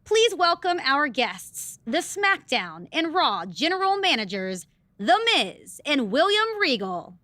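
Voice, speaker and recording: A woman's voice: high-pitched, sing-song, takes it slowly, pushed and bright, no room sound, full character voice. A woman playing a snarky, condescending wrestling show co-host in a video game cutscene. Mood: haughty. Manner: sarcastic, haughty.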